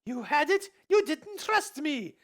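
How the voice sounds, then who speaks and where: A man voicing a sharp-tongued young woman in a high put-on falsetto. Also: sing-song, slow pace, exaggerated voice.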